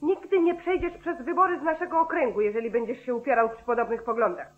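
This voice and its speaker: An actress playing a strident, insistent petition campaigner in a 1940s radio play. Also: female voice, high-pitched, quick pace, lightly acted. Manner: insistent, preachy. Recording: thin, phone-like old radio recording.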